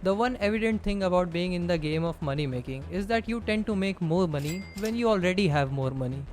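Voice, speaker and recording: A man's voice: high-pitched, expressive, brisk. A man from India with a strong Indian accent, narrating a scripted explainer and addressing the viewer directly.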